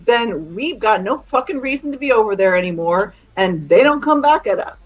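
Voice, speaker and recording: A woman's voice: medium pitch, expressive, moderate pace. A blunt woman talks in a loose group chat and swears without a second thought. Her voice sits at an ordinary middle height. Her audio comes through muffled and lo-fi, like an online call. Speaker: parent. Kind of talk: casual group chat on a stream.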